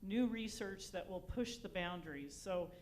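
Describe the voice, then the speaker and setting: A woman's voice: mid-range voice, unhurried, a little mellow. Female university leader on a panel, emphatic and upbeat, faint background hum. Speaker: research vice chancellor on a panel. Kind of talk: unscripted panel remarks.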